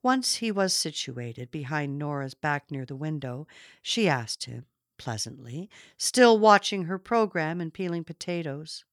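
A woman narrating a literary story from a script in a measured, expressive storytelling manner. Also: deep voice, dry recording, clean studio sound. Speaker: audiobook narrator.

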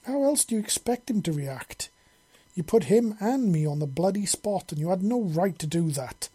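A man's voice: a little high, a little fast, warm tone, husky. A man voicing a furious, embarrassed woman scientist in an audiobook story, snarling and swearing. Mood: angry. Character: furious, flustered, embarrassed woman scientist, foul-mouthed mother.

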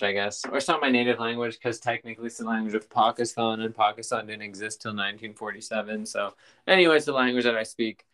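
A man's voice: fairly low pitch, fairly bright tone, clear, resonant tone. A man hosting a podcast in casual chat, rambling and often restarting his sentences. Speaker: podcast host.

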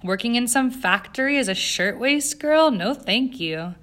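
A woman's voice: fairly high pitch, animated, unhurried, a bit bright, pitched above the performer's own voice. A woman voicing a privileged young socialite in an audiobook, with an airy, self-assured, impatient manner. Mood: defensive, emphatic. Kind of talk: audiobook character acting.

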